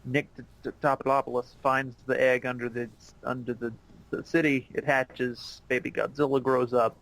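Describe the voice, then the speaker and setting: A man's voice: medium pitch, flat delivery, normal speed, a bit bright, low-quality recording. A man co-hosting a casual cartoon commentary, chatting in an informal, somewhat hesitant way. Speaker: commentary co-host.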